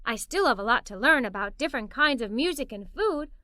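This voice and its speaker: A woman reading a children's story aloud in an animated, playful, emphatic way, as a young girl telling it. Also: a little high, brisk, no room sound, clean studio sound. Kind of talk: expressive read-aloud storytelling in a young girl's first person.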